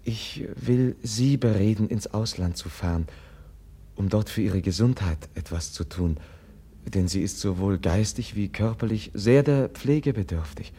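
An actor playing a gentle, compassionate prince in a radio drama, speaking earnestly and haltingly as he pleads with his rival. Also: fairly low pitch, slightly rough. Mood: earnest, hesitant. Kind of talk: classic German radio drama acting.

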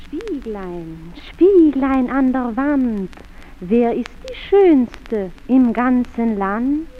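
An actress voicing a solemn magic mirror in an old radio play, intoning slowly. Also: female voice, a little high, sing-song, a little hiss or hum, exaggerated voice. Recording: tinny 1930s radio recording.

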